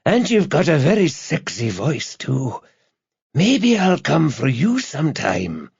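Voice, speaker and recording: A man voicing a crazed, menacing kidnapper on the telephone in an audiobook, with a hissing, gasping rasp and a taunting, gloating manner. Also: mid-range voice, lively intonation, slow, no echo, dull audio, pitched above the performer's own voice, exaggerated voice. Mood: manic gloating. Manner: gloating, sneering, repetitive.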